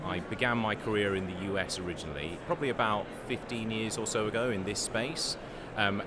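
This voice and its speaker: A middle-aged man chatting casually as an interview guest. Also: on the low side. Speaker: interview guest, business founder. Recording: clear urban background noise.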